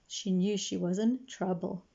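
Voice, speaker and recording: A woman reads a children's storybook aloud with animated expression. Her pitch sits in a middle range for a woman. The recording is somewhat dull and lo-fi. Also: a little slow, gravelly. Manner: animated, acts out dialogue. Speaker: storybook reader, librarian. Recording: dull, lo-fi sound.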